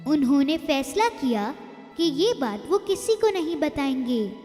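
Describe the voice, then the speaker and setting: A woman tells a children's moral tale in a high, animated voice, taking her time over each line. Her narration carries a long echo, with faint music underneath. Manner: slow, expressive storybook reading. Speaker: storyteller.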